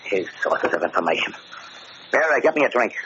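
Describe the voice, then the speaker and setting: A man's voice: lowish voice, big pitch swings, a little fast. A man playing a short-tempered, paranoid American gangster boss in 1940s radio drama style, curt and sarcastic, barking orders. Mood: sarcastic, angry. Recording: muffled, noisy old radio broadcast.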